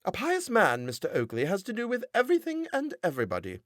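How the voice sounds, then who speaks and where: A shrill, self-righteous wife in a storybook reading, nagging in a nasal twang, as a male narrator puts her on. Her voice sits high above the reader's own and swings widely. The recording is dry and close. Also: wildly swooping pitch, fast, dry recording. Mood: scolding. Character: nagging pious middle-aged wife. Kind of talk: audiobook character voice.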